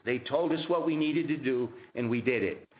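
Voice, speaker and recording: A man answering questions as a company executive on a conference call, explaining in a halting way and rephrasing mid-sentence. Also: medium pitch. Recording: muffled, roomy conference phone line.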